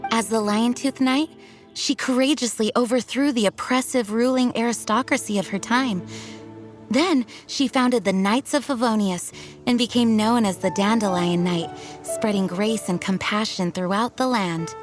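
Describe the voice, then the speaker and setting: A woman playing a noble, dutiful young knight commander in a video game, speaking formally and earnestly in measured sentences. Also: fairly high pitch, natural voice. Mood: earnest, burdened. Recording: music behind the voice.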